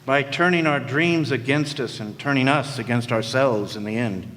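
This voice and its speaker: A man's voice: mid-range voice, moderate pace, close to an everyday voice. Man acting sardonic noir private eye, echoey hall. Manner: wry, wordy, deadpan. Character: sardonic hard-boiled noir private eye, male, world-weary. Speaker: actor narrating and playing lead in a radio play. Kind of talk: film noir radio detective acting. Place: live performance in a hall. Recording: echoey hall stage, faint noise.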